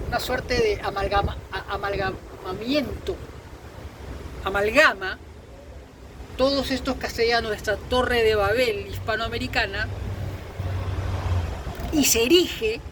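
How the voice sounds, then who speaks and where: High-voiced Peruvian man over clear background noise. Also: unhurried, long pauses. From Peru. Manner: emphatic, repetitive, florid vocabulary. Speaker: video host. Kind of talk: opinionated informal monologue.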